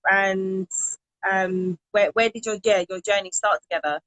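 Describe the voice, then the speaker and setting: A woman's voice: mid-range voice, fairly even intonation, unhurried, a bit bright, no room sound, low-quality recording. A woman who works as a confidence coach hosts a live social media interview, bubbly and enthusiastic with her guest.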